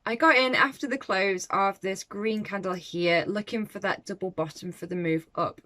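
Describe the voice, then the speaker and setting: A woman's voice: medium pitch, moderate pace, sharp tone. A woman giving a casual, reflective trading recap to her viewers, chatting with small laughs. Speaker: forex trading vlogger. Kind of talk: casual trade recap to camera.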